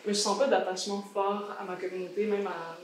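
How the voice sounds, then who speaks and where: A woman's voice: medium pitch, fairly even intonation, fairly quick, slight background noise. Young woman, Québécois accent, reflective, echoey room, heard from a distance. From Montreal, Quebec. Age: young adult. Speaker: university student, panel guest. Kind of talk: casual panel discussion.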